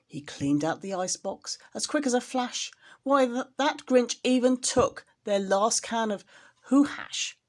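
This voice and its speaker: A woman's voice: fairly high pitch, animated, measured pace. A woman storyteller reading a children's storybook expressively, with dramatic emphasis on repeated words.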